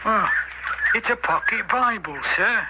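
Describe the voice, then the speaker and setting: An actor playing an eager, deferential police assistant in a radio drama, earnest and slightly stammering. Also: male voice, high-pitched, measured pace, lo-fi sound. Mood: alert. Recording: very noisy old radio recording.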